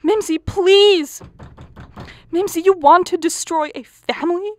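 A woman's voice: extremely high pitch, unhurried, a little mellow. A woman playing a frantic, anxious middle-aged wife and mother of the bride in a radio play, pleading and melodramatic. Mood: shrill, commanding. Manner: dramatic, wailing, pleading.

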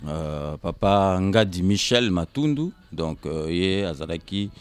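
A man in his fifties from Kinshasa with a Congolese accent, answering casually in short, halting, repeated phrases. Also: low voice, lively intonation, smooth. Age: 50s. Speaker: interview guest. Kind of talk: casual interview storytelling, switching between Lingala and French. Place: indoors on a sofa. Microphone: handheld microphone held by interviewer.

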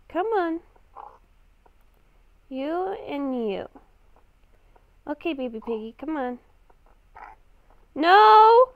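A woman improvising in-character commentary for a game roleplay, speaking hesitantly and haltingly, with sarcastic asides. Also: high voice, dry recording, highs cut off. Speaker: gamer playing a roleplay series.

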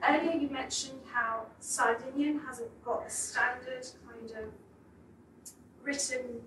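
A woman's voice: high voice, fairly even intonation, takes it slowly, fairly bright tone. A woman in the audience at a public talk, asking a long, reflective question. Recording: echoey room, distant mic, faint noise.

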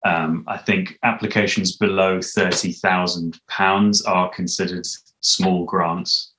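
A man's voice: sits low, level tone, measured pace, bright, forceful tone, reverberant, a little hiss or hum, poor audio. A man in his thirties with a British accent, talking casually as an interview guest. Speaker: interview guest, podcast creator. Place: small home room, indoors.

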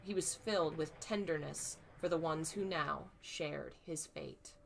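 A woman in her thirties reading a novel aloud on a live stream, her voice slightly hoarse, acting out the dialogue, then chatting casually. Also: unhurried, a little hiss or hum, rough recording. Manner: acts out dialogue with raised pitch for frightened lines. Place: small home room, indoors.

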